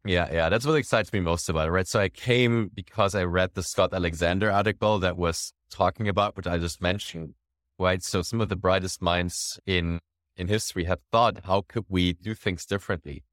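A man's voice: sits low, steady tone, moderate pace, a bit bright, no room sound, clean studio sound. A man hosting a podcast interview, enthusiastic and often tagging sentences with a question. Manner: enthusiastic, ends sentences with "right?". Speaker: podcast host.

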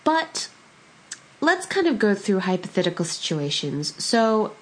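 A woman hosting a podcast, husky and stuffed up from a summer cold, talking casually with a rambling, self-deprecating manner. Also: mid-range voice, big pitch swings, a little slow, poor audio. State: congested from a cold. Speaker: podcast host.

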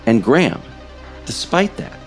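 A man reading scripted political narration as a podcast author, deliberate, with pointed pauses on key phrases. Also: medium pitch, sing-song, soft background music.

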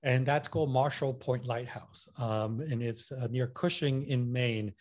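A man's voice: mid-range voice, fairly even intonation, a little slow, a little mellow. Man with a mixed Puerto Rican–Massachusetts accent. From Puerto Rico. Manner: enthusiastic, many fillers and restarts. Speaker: photographer guest. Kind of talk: casual interview talk.

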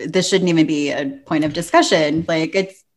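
A woman's voice: low voice, fairly even intonation, normal speed. A woman co-hosting a podcast, chatting informally and giving her opinions in a casual panel discussion. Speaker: library podcast co-host.

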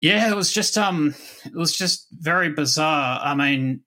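A man hosting a podcast in casual review chat, talking in a halting, hesitant way. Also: on the high side, bright, forceful tone. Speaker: podcast host.

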